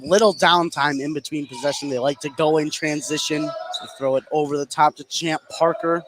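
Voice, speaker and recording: A man's voice: on the high side, background noise. A man giving live play-by-play commentary on a basketball game for radio.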